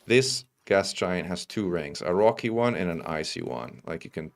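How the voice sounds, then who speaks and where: A man's voice: deep voice, moderate pace. A man from Italy with a faint Italian accent, chatting casually while streaming a space game and reading viewers' messages. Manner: relaxed, chatty, reads chat aloud. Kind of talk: casual gameplay stream chat. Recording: steady background noise.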